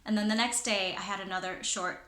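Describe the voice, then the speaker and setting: A woman's voice: mid-range voice, fairly even intonation, brisk, a bit bright, roomy sound. A woman who works as a presenter and trainer, sharing advice in a chatty way with many fillers and restarts. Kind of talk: casual talking-head advice.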